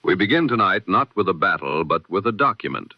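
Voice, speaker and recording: A man presenting a scripted news bulletin in 1920s radio style, with a formal, measured, solemn delivery. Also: low voice, sing-song, lo-fi sound.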